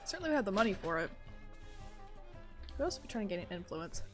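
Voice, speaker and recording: A woman streamer with a medium-pitched voice, casually talking and reading through a game. She is playful and chatty, thinking aloud, with soft game music in the background. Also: very animated, fast. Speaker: live streamer. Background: faint game music.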